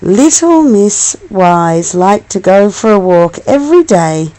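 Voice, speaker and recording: Slow, very animated woman reading a bedtime story. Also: medium pitch, poor audio. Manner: expressive, affectionate, coaxing, talks to child with endearments. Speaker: mother reading a bedtime story to her young child. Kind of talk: storybook read-aloud with asides to a child.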